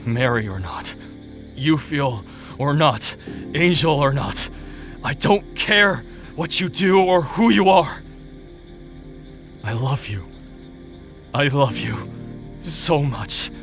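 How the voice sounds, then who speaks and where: Man acting a remorseful, lovestruck warrior in audio drama; slow halting pleas, self-loathing, music clearly underneath. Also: medium pitch, sing-song, fairly bright tone, exaggerated voice. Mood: anguished devotion. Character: Cain, tormented remorseful male fighter in love, self-loathing. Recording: music behind the voice.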